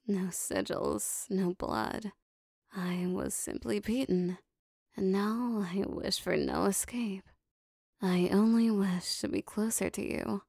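A lovestruck female demon played by a woman speaks in an unhurried, intimate murmur with a gravelly fry. Her tone is dark and mellow, her phrasing formal and old-fashioned, full of possessive devotion, as in a romantic ASMR audio drama. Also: mid-range voice, no room sound, studio quality. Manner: soft, breathy, formal archaic phrasing, lingering pauses.

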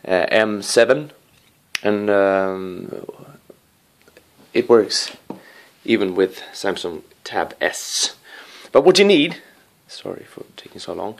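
A man giving a casual hands-on video review in a living room, rambling with apologetic asides and a playful, excitable streak. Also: deep voice, takes it slowly, gravelly. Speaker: amateur gadget reviewer. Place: living room with wooden floor and rug.

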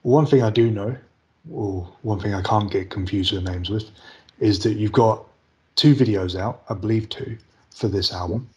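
A man's voice: fairly low pitch, moderate pace, a little mellow, muffled recording. A man in his thirties with a British accent, conducting a casual interview. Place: room indoors on a video call.

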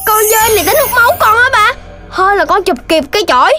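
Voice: extremely high pitch, lively intonation, fast talker. An actor voicing a naive, mischievous little child in a children's cartoon, with a Southern Vietnamese accent and an exclamatory, playful delivery. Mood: startled, apologetic. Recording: music behind the voice.

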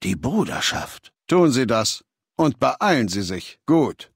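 An actor playing a ruthless, imperious male legion leader in a video game, with a measured, commanding, contemptuous manner. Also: mid-range voice, very animated, breathy edge, no room sound, clean studio sound, full character voice. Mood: cold, commanding. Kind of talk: scripted game dialogue.

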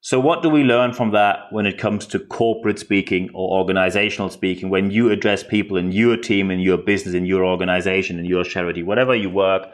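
A man's voice: low voice, fairly even intonation, fast, few pauses. A man from northern Germany with a German accent, hosting a solo podcast in his second language with an emphatic, rhetorical manner. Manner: emphatic, rhetorical questions and repetition. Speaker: podcast host. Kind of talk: unscripted solo podcast commentary.

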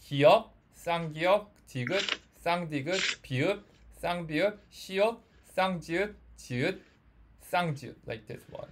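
A male Korean teacher with a Korean accent leads an online lesson. His voice sits a little high, and he speaks non-native English haltingly, often repeating words as he explains. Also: expressive, slight background noise. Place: online group audio call.

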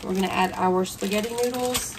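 A woman's voice: mid-range voice, steady tone, a little fast. Lady talking y'all through her recipe, mic set back, room hum behind. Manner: chatty, talks viewers through each step. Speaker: cooking vlogger. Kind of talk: casual cooking tutorial. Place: hotel room. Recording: distant mic with clear room noise.

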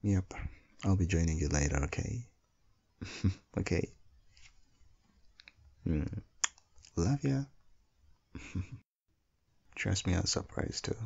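A man plays a tender, playful young husband and father in an ASMR roleplay. His voice sits very low, crackling with fry, and he takes his time soothingly. The sound is a little dull. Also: warm tone, heavily acted. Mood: affectionate, teasing. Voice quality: low, gravelly, husky. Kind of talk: intimate ASMR boyfriend audio roleplay.

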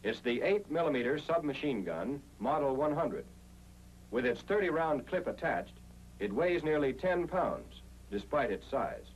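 A man's voice: medium pitch, big pitch swings, a little slow, slight background noise, low-quality recording. An American man reading a scripted 1940s instructional film narration in an emphatic, explanatory way, on an old film soundtrack.

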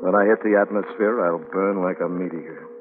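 A man's voice: low-pitched, fairly even intonation, normal speed, background noise, natural voice. An actor playing a lonely, remorseful spaceman facing death, in 1950s radio drama style. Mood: resigned, wistful. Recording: tinny narrowband 1950s radio recording. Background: dramatic music.

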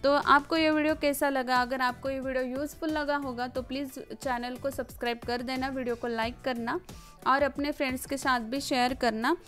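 A woman's voice: sits high, steady tone, moderate pace, fairly bright tone. A woman narrates a casual home cooking voice-over in a hesitant, explanatory way, often repeating words. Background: background music.

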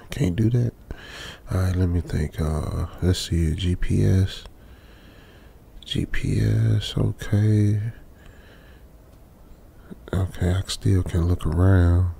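A man's voice: deep voice, steady tone, extremely slow, gravelly, long pauses, dark tone, a little hiss or hum, exaggerated voice. An American man playing a stranded young trucker in a radio drama, uneasily muttering to himself in colloquial, repetitive phrases. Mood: puzzled, thinking. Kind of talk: old-school radio drama monologue, improvised feel.

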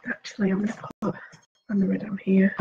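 A woman vlogger chatting casually while applying her makeup, a little sniffly with a cold, asking viewers questions and murmuring soft asides as she concentrates. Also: medium pitch, level tone, a little fast, vocal fry, muffled recording, background noise. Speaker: beauty vlogger.

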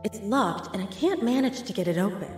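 Woman as a gentle queen, heavily reverberant. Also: mid-range voice, lively intonation, a little fast, soft background music, natural voice. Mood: curious. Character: gentle, curious wife of a king. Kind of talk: video game voice acting. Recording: long echoing flashback reverb.

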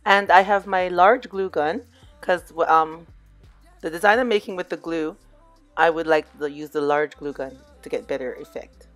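Hoarse middle-aged woman, lowish voice, easygoing tutorial narration with faint music behind. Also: moderate pace, dry recording. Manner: relaxed, chatty, addresses viewers as "you guys". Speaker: DIY crafts vlogger. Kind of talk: casual crafting tutorial voice-over.

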